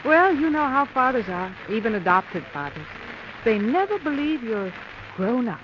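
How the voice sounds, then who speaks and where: Coy young schemer, muffled old radio. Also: female voice, on the high side, very animated, measured pace, background noise. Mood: coy, wistful. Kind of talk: 1940s radio acting.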